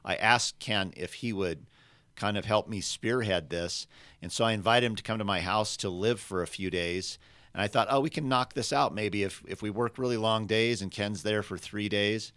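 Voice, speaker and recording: A man hosting a podcast, his voice scratchy and gravelly from being sick, talking in a rambling, friendly way with many restarts. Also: on the low side, moderate pace, fairly bright tone, dry recording, studio quality. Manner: rambling, self-correcting, friendly. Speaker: podcast host.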